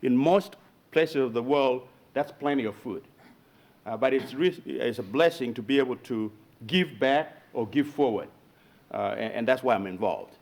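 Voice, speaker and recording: A middle-aged or older man with a Ugandan accent giving a halting personal testimonial at a public meeting. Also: medium pitch, gravelly, darkish tone. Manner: halting, repeats words. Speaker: Rotary club member. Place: town board meeting room.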